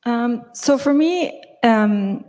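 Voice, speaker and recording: Higher-voiced woman from Gaza, halting and reflective. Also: expressive, a little mellow. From Gaza, Palestine. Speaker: panelist. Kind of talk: reflective personal panel remarks. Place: large auditorium stage.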